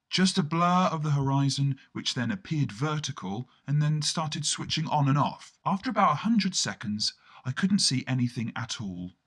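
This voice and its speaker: A man's voice: medium pitch, fairly bright tone. A man performing a surreal first-person radio play monologue in a theatrical way, with colloquial asides and sudden exclamations. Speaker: storyteller narrating in first person.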